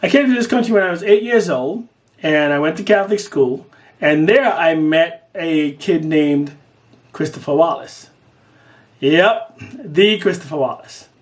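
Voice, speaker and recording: A middle-aged man from Guyana, telling his own story in an earnest audition video. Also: on the high side. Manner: earnest, lively, swings between quick bursts and slow emphasis. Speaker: artist making an audition video. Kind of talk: personal storytelling to camera.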